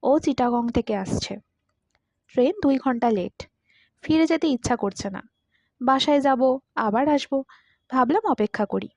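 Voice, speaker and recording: A woman's voice: high voice, a little slow, pauses often, dry recording, highs cut off. A woman voicing a courteous, talkative man of about forty who confides his story wistfully in an audiobook. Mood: cheerful, chatty. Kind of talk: audiobook character dialogue.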